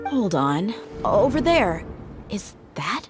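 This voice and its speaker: Calm steady desert guardian lady, mid voice, game dialogue. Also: mid-range voice, slow pace, over music. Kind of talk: video game voice acting.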